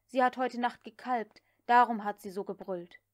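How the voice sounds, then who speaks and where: A woman's voice: a little high, moderate pace, close to an everyday voice. A woman voicing a deferential, anxious male house slave in an audiobook, proud but tactful, with a plaintive way of protesting. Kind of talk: audiobook character dialogue.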